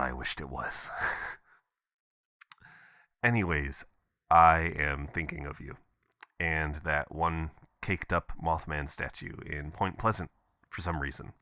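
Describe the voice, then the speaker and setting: Low, crisp-edged boyfriend voicemail, acted by a man. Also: no room sound, clean studio sound, exaggerated voice. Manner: casual, expressive, chuckling, swearing. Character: adventurous, affectionate, playful boyfriend leaving voicemails. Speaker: audio performer. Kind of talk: intimate voicemail roleplay, ASMR boyfriend audio.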